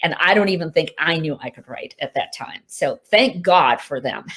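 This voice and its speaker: Female author, Hindi native, low register, chatty Q&A over a video call, easy laughs, lots of fillers. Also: animated, normal speed, fairly bright tone. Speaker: author answering reader questions. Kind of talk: casual virtual Q&A. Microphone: video call mic.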